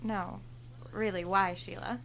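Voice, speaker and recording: A woman's voice: mid-range voice, fairly even intonation, a little slow, creaky, a bit bright, a little hiss or hum. An actress playing a flippant, self-assured woman of thirty, an entitled cashier with a coolly menacing side, in audio drama. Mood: needling. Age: 30s. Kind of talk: audio horror drama acting.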